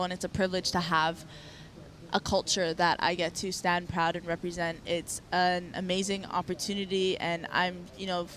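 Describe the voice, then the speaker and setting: A woman's voice: lowish voice, a bit bright. A young woman from Hawaii, a native speaker of Hawaiian, answering interview questions humbly, with many fillers. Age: young adult. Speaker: surfer being interviewed. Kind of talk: post-heat interview.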